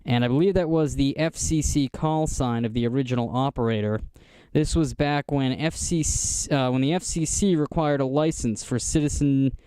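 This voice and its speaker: An American man giving a casual, unscripted show-and-tell narration in a halting, explanatory manner. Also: medium pitch, mellow, clean tone, dry recording. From United States. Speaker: vlogger showing vintage radio gear.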